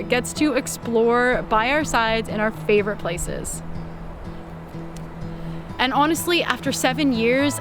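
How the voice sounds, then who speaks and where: A young woman narrates her travel vlog calmly and from the heart, with small laughs here and there. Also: fairly high pitch. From Canada. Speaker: vlogger. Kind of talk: reflective voice-over narration. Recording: voice-over with music underneath.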